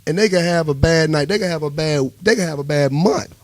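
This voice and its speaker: Man, Washington DC accent, slightly high voice, close dry sound, emphatic casual interview talk, musician. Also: fairly quick, no echo. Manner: emphatic, animated storytelling with repeated check-ins. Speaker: musician and bandleader, interview guest.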